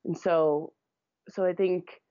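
A woman's voice: lowish voice, fairly even intonation, takes it slowly, warm tone, no echo. Woman guest, casual talk, hesitant restarts, dry close sound, muffled lo-fi line. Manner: thinks aloud, restarts and repeats words. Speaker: interview guest. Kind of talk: casual conversation.

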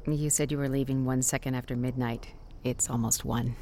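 A woman's voice: a bass voice, steady tone, a little fast, warm tone, slight background noise. An actress playing a cool, teasing woman who bargains hard, wry and understated, in a naturalistic audio drama.

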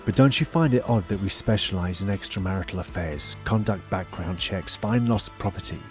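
Man acting a low-voiced, weary private investigator. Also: normal speed, over music, natural voice. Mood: doubtful, evasive. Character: weary, evasive male private investigator with troubled marriage. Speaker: lead actor in audio drama. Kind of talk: naturalistic radio drama dialogue.

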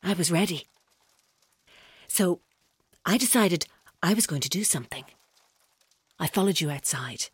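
A woman's voice: lowish voice, animated, breathy edge, no echo, exaggerated voice. A menacing, coldly coaxing female captor. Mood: quietly threatening. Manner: deliberate, coaxing, coldly mocking. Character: menacing, controlling female captor, calm and taunting. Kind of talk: audio drama acting, monologue-like.

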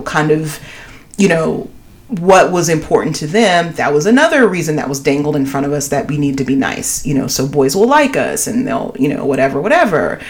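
A woman with a deep voice and low pitch, co-hosting a casual podcast chat. She talks emphatically, leans often on "you know," and builds her points with passion. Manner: emphatic, animated, many "you know" fillers. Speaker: podcast co-host.

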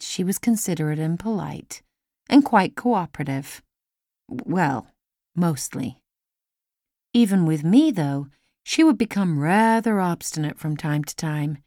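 A woman's voice: fairly low pitch, mellow, dry recording, studio quality. A woman reading a first-person children's story as an audiobook narrator.

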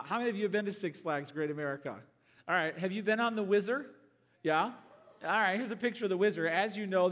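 A man with a high-pitched, slightly hoarse voice preaches to his congregation. He is casual and joking, then animated as he builds a point. His voice rings out with a long reverb through the church hall. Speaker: pastor. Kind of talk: sermon. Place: church sanctuary.

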